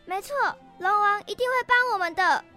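A woman voicing a caring, weary, aggrieved goldfish in a children's radio play, sighing and expressive. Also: extremely high pitch, brisk, faint music behind. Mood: concerned.

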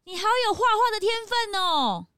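A woman playing a cheeky, excitable young detective's assistant in a children's audio mystery drama. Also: very high voice, normal speed, no room sound, studio quality, exaggerated voice. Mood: curious. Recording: music behind the voice.

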